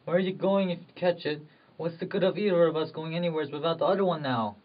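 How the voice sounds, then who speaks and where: A man playing an anguished, impulsive rural husband in love, fervent and halting, in an amateur drama. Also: fairly high pitch, close to an everyday voice. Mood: troubled longing. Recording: dull, distant microphone.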